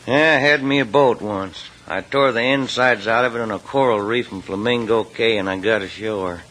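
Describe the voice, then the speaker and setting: Casting: man to play sly, threatening radio-drama boss, low-pitched, condescending, old radio sound. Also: normal speed, a bit bright, natural voice, low-quality recording. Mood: brooding. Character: menacing, greedy crime boss and former boat owner. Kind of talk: 1940s-50s radio drama acting. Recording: vintage radio recording.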